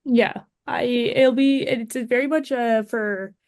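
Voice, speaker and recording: A woman in casual conversation as a podcast panelist, discussing entertainment in a relaxed chat style. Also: fairly high pitch, measured pace, dry recording, studio quality.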